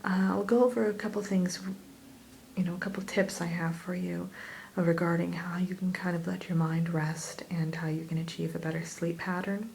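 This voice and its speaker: Woman acting as a kind female therapist, whispered ASMR roleplay, low-pitched, soft mellow tone, gentle, reassuring, faint background noise. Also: fairly even intonation, vocal fry, poor audio. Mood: soothing, reassuring. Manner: soothing, gentle, attentive, unhurried.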